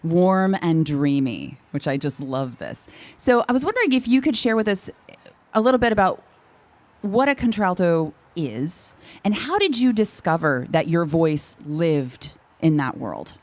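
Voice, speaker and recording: A woman's voice: on the low side, big pitch swings, unhurried. A woman hosting a casual interview, chatty and enthusiastic in the way she puts questions. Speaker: interviewer, host.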